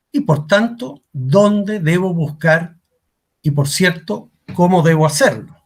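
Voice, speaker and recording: A middle-aged man giving a career workshop talk as a coach, asking rhetorical questions and repeating key lines for emphasis. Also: high voice. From Chile.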